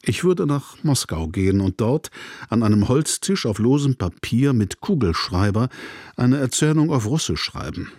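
A man's voice: mid-range voice, sing-song, moderate pace, a little mellow, slightly rough, no room sound, clean studio sound. A man reading a first-person novel passage in a grave, introspective way. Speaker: reader.

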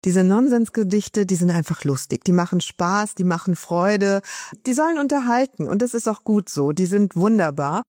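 A woman's voice: medium pitch, expressive, normal speed, darkish tone, no room sound, studio quality. A woman, a museum director, speaking in an interview with enthusiasm about the writer's poems.

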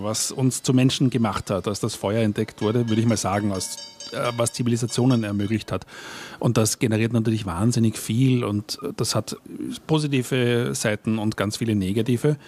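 A man, talking reflectively as an author and audio artist in an interview on a festival stage, often pausing to search for words. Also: mid-range voice, a little mellow. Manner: thoughtful, searching for words, trailing off.

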